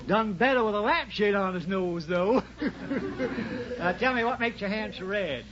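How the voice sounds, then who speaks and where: An actor playing a chatty, droll small-town barber in 1940s radio comedy, repeating himself and tagging on afterthoughts. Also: male voice, high voice, lively intonation, normal speed. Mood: amused, rambling. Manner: rambling, repeats himself, tags jokes with "though". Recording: muffled, faintly noisy 1940s radio recording.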